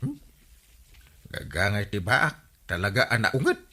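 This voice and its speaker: Wildly swooping, excitable Ilocano village captain. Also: male voice, on the low side, a little slow, exaggerated voice. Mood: grumbling. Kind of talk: Ilocano radio comedy drama acting.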